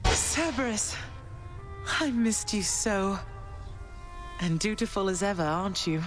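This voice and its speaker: An actress playing a calm, regal, forgiving underworld queen in a video game, poised and gently firm. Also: medium pitch, unhurried, natural voice. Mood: tender. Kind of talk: scripted video game voice acting. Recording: music behind the voice. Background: game music.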